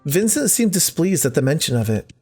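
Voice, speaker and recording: A man's voice: on the high side, very animated, brisk, darkish tone, husky, soft background music. A man hosting a let's play, reading visual novel text aloud expressively with casual commentary. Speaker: gaming video host.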